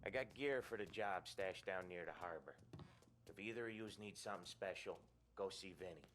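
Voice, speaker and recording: An actor playing a level-headed young mobster who leads his crew, talking in a calm, blunt voice. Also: deep voice, normal speed, background noise, close to an everyday voice. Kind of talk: video game cutscene acting. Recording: game cutscene with loud score.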